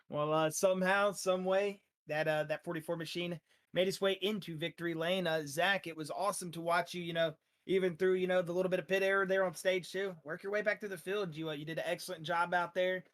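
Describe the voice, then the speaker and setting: A man who is losing his voice, interviewing drivers after a sim race with energetic, rambling talk full of fillers. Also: high voice, brisk. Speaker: sim racing league broadcaster.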